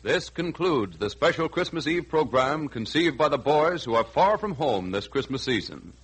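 A man's voice: a little high, very animated, moderate pace, a bit bright, breathy edge, poor audio. An American man making formal, precise network announcements in 1940s radio style, on a grainy vintage broadcast.

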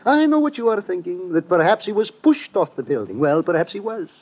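An actor playing a fussy, excitable foreign police inspector, formal and emphatic, in an old radio detective drama. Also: male voice, high voice, brisk. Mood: annoyed. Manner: formal, pedantic, emphatic, without contractions. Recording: muffled lo-fi old radio recording.

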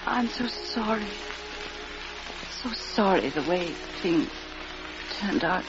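An actress playing a frail, loving wife on her deathbed in an old radio drama, speaking weakly, tenderly and haltingly. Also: mid-range voice, lively intonation, music in the background. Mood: sad, tender. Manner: weak, breathy, halting, tender. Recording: dull, noisy old radio recording.

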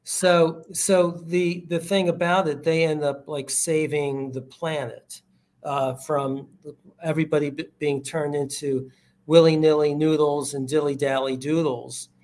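A man's voice: fairly high pitch, fairly even intonation, a little slow, a little mellow. A man from the United States, an author guest, talking casually with plenty of restarts. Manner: rambling, many fillers and restarts. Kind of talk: casual interview chat.

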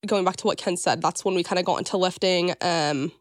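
A woman guest on a podcast with a slightly raspy voice, chatty and full of "like" fillers. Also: on the low side, fairly even intonation, a little fast, no echo, studio quality. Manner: chatty, filler-heavy, says "like" and "kinda". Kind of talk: casual interview chat.

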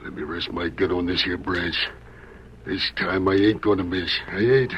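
An actor playing a paranoid western outlaw in a radio drama, agitated and raving with fear in a gravelly Western drawl. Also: male voice, sits low, fairly even intonation, normal speed, lightly acted. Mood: feverish dread. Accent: American Western drawl. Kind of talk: old radio western acting. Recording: dull, noisy old radio recording.

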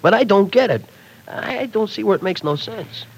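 A man's voice: sits high, animated, normal speed, gravelly, slightly rough, exaggerated voice, rough recording. An actor playing a slangy, pushy, streetwise young man in an old radio drama. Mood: wheedling. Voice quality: slangy tough-guy speech. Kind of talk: 1940s radio acting.

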